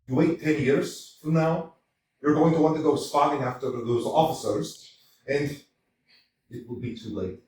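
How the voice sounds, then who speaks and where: A man's voice: medium pitch, a little slow, darkish tone, distant mic, a little hiss or hum, natural voice. An actor playing a blunt, teasing older male servant in a staged reading, chatty and down-to-earth. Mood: coaxing. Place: small community theater stage. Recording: echoey stage picked up from afar.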